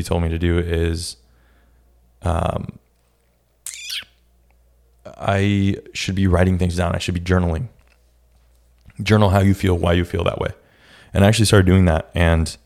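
A young man hosting his own podcast, talking in a casual, reflective way with a raspy voice and many restarts. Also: deep voice, darkish tone. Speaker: podcast host. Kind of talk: casual solo podcast talk.

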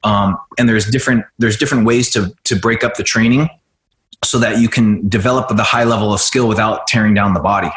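A man in his forties speaking in a fairly low register, relaxed and explanatory, often padding his thoughts with ums and you-knows. His voice carries a noticeable roomy echo, like a podcast recorded in an untreated room. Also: brisk. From United States. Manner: relaxed, explanatory, frequent fillers. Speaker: jiu-jitsu guest. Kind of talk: casual podcast interview.

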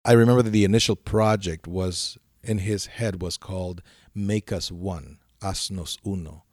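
Low-voiced man. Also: steady tone, darkish tone, no room sound, studio quality. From El Salvador. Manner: halting, many restarts and fillers. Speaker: composer and interviewee. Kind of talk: reflective interview talk.

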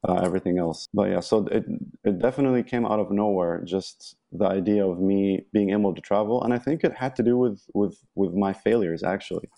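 A man's voice: lowish voice, brisk, creaky, warm tone. A young man from Egypt, a podcast guest talking casually with many ums and restarts. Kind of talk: casual interview talk.

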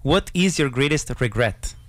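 A man's voice: medium pitch, expressive, normal speed, fairly bright tone, dry recording, studio quality. A man hosting a podcast interview, enthusiastic and encouraging, with philosophical asides. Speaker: podcast host. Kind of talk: casual interview.

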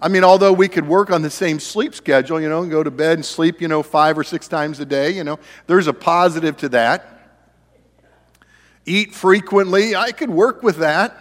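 High-voiced man in his fifties, preaching. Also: normal speed, in a large hall. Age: 50s. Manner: conversational, chatty asides to the congregation. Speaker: pastor. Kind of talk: sermon. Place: church sanctuary.

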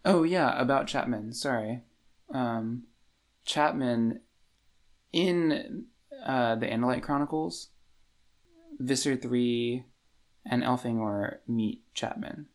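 Gravelly-voiced man chatting about books. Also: medium pitch, slow pace, pauses often. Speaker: podcast co-host. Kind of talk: casual book discussion.

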